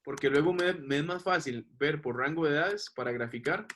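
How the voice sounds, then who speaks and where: A man's voice: a little high, a little fast, a bit bright, a little hiss or hum. A man teaching a class, explaining patiently and checking that the students follow. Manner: patient, checks understanding with questions.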